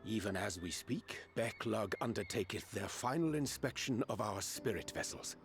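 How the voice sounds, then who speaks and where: An actor playing a solemn, formal man in a fantasy game, speaking stately archaic English in a gravelly voice. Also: on the low side, animated, moderate pace. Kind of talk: archaic scripted game dialogue. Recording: faint music behind the voice.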